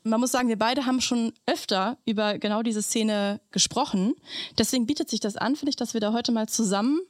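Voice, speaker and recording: A woman's voice: a little high, brisk, no echo. A woman in her thirties hosting a casual podcast. She retells things colloquially, dropping in English slang. The sound is dry and close. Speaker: podcast host.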